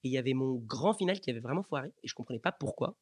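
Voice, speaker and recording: A man's voice: medium pitch, expressive, racing, slightly rough, dry recording, studio quality. A bilingual man, the podcast host, who lived a long time in Australia, interviewing a guest in a casual, upbeat way.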